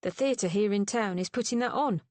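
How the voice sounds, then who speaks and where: A woman's voice: mid-range voice, moderate pace, a little mellow, no echo, close to an everyday voice. Awkward eager young gent, read by a woman, chatty, dry close sound. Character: gawky, awkward young gentleman.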